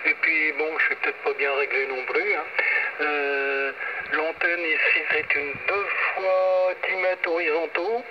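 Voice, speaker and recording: Chatty older male radio amateur, slightly high voice, heard via shortwave with heavy noise. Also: fairly even intonation, unhurried. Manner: rambling, self-correcting, chatty. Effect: shortwave radio transmission. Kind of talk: casual ham radio chat. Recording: muffled, very noisy shortwave radio reception. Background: loud radio noise.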